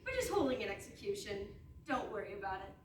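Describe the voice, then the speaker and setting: A woman's voice: medium pitch, big pitch swings, fast, a little hiss or hum, natural voice. A woman playing a flippant, bossy young princess in a comic stage reading, brash and sarcastic. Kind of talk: comic stage readers theatre. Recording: echoey room, far from mic.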